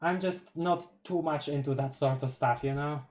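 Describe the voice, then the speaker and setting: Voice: mid-range voice, normal speed, a little mellow. A streamer from Poland with a light Polish accent and Polish as first language, chatting casually on a live stream while reading a webcomic aloud, giggling often and stumbling over long words.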